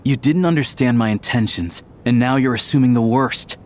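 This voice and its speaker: A man's voice: mid-range voice, moderate pace, a little hiss or hum, natural voice. A man playing a guarded male suspect in a game dub, speaking in a coolly defensive way. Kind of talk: dramatic game dub acting.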